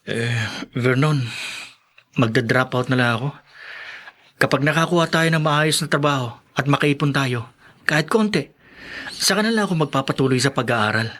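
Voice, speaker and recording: A man's voice: mid-range voice, normal speed, pauses often, pushed and bright. A man playing a struggling, anxious young student, earnest and hesitant, in a Filipino radio drama. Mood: resigned.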